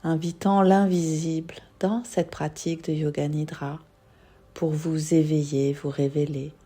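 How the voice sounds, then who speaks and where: A woman guiding a yoga nidra meditation in a soothing, unhurried manner, leaving long pauses. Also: low-pitched, little pitch movement, mellow, slightly rough. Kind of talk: guided meditation.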